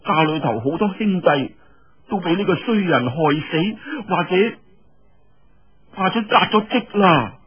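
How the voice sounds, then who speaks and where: A man voicing a proud, scornful young woman in a story, with a husky voice and indignant, drawn-out phrasing. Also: high voice, animated, voice pushed up. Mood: embarrassed, indignant. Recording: muffled, dull lo-fi audio.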